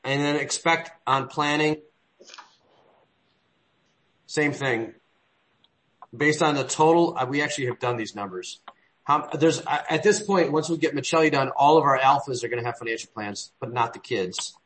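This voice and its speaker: A man chairs a work meeting, his talk looping through restarts and side stories at an ordinary pitch. His delivery is loose and conversational, drifting off before picking up again. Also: moderate pace, long pauses, fairly bright tone. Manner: rambling, frequent restarts and trailing asides. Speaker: meeting leader. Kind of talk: business meeting.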